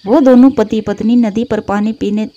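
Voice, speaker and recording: A woman's voice: on the high side, steady tone, moderate pace, mellow, highs cut off. A woman narrator reading a scripted moral story for children.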